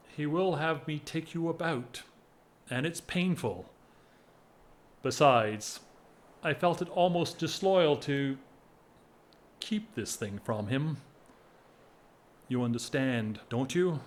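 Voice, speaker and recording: An actor playing a weary, lovelorn upper-class gentleman in a period audio drama, speaking with formal, wistful wryness. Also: mid-range voice, natural voice. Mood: pained confession. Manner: formal, wistful, wry, measured speech. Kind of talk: stage-style period radio drama acting.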